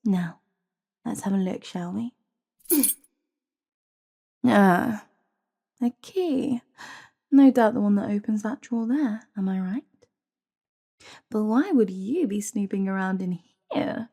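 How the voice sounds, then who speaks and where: Woman doing an evil fantasy advisor sorceress, slow smug taunting, low-lit mellow tone, breathy n whispery, very theatrical. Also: expressive, exaggerated voice. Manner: theatrical, mock-courteous, taunting, drawn-out phrasing. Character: scheming evil royal advisor, silky, smug, menacing sorceress. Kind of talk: dramatic fantasy audio roleplay.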